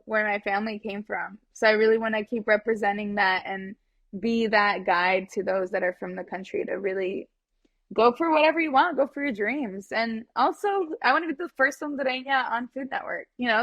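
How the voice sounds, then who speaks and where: A woman's voice: fairly high pitch, fairly bright tone, dry recording. A young woman from California with a Californian accent, a guest chef talking in a friendly, enthusiastic way, recorded on her phone. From San Fernando Valley, California. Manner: friendly, enthusiastic, says "like" and "you know" a lot. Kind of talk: casual chat.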